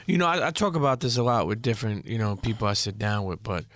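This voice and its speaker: A man's voice: lowish voice, animated, quick pace, a bit bright, dry recording. A man hosting a podcast interview, asking questions in a laid-back, chatty way with many fillers. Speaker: podcast host. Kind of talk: casual interview.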